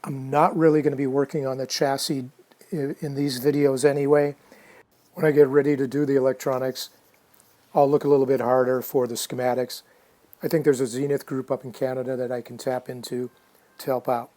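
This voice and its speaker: A man casually narrating a hands-on restoration video, unhurried and halting as he thinks aloud. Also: mid-range voice, little pitch movement, warm tone. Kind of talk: casual narrated how-to commentary.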